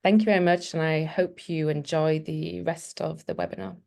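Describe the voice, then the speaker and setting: A woman with a fairly low voice delivers a prepared academic talk. She moves through her points in a measured, formal way, setting them out one by one. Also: fairly even intonation, vocal fry, darkish tone. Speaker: webinar presenter.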